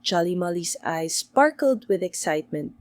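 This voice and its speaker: A woman's voice: on the low side, animated, moderate pace, no room sound. A woman reading a scripted bedtime story for children as its narrator.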